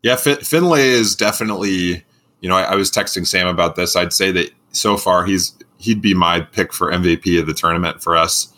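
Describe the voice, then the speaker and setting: A man who is a middle school teacher with a booming voice, co-hosting a casual sports podcast in an animated way. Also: fairly quick. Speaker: podcast co-host.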